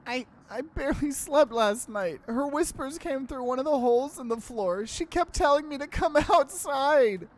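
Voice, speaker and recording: Man putting on a high, timid, stammering little guy. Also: expressive, normal speed, few pauses. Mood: frightened. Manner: stammering, hesitant. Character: timid, frightened little guy in horror visual novel.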